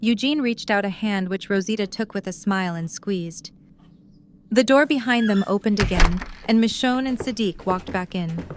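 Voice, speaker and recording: A woman's voice: medium pitch, moderate pace, slight background noise. A woman reading scripted story narration as the narrator of an audio drama.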